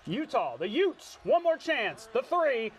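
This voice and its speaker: A man with an American accent anchoring a sportscast, calling highlights with playful, jokey asides. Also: big pitch swings, slow pace. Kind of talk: highlight commentary and studio banter. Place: TV studio.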